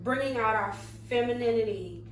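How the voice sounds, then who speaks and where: A woman of thirty, co-hosting a casual podcast chat, talking slowly and thoughtfully and trailing off quietly. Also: on the high side. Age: 30s. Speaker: podcast co-host. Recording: echoey room, mic at a distance, faint hum.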